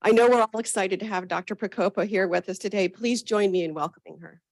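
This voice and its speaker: A woman hosts a public talk and reads out the speaker's introduction. She sounds upbeat and welcoming, in a mid pitch, recorded close and dry. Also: quick pace, a little mellow, no room sound. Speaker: event host introducing the speaker. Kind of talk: scripted introduction.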